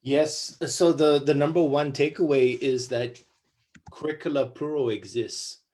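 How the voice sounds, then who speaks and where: Man from Guam, keen panel speaker on a video call, steady mid-range pitch, warm and eager delivery. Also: a little slow. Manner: enthusiastic, listing examples, talks through fillers. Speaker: guest speaker on curricula. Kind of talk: online panel presentation.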